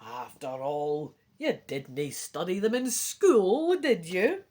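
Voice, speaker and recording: A woman voicing a jovial, skeptical middle-aged dad from a storybook, with drawn-out, emphatic phrasing. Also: fairly low pitch, big pitch swings, mellow, a little hiss or hum. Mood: jovial doubting.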